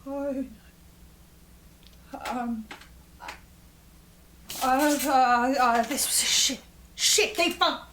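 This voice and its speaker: A woman playing an anxious psychic archivist who thinks aloud haltingly in an audio horror drama monologue. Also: high-pitched, a bit bright, a little hiss or hum, heavily acted. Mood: panicked. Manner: hesitant, searching, stammering monologue.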